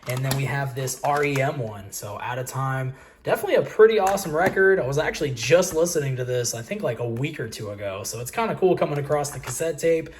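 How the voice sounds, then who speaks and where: An American man talks informally to his viewers as a vlog host. His voice sits at a middle pitch, and his wording is loose and slangy. The home-video recording carries clear background noise. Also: animated, quick pace, hardly any pauses. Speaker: host of a video channel about reselling collectibles. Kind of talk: casual vlog commentary.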